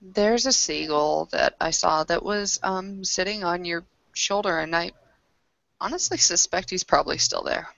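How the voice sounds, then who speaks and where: A woman improvising in character in a tabletop roleplaying session, with hesitant, halting phrasing. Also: on the low side, creaky, a bit bright, dry recording. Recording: dry, dull lo-fi audio.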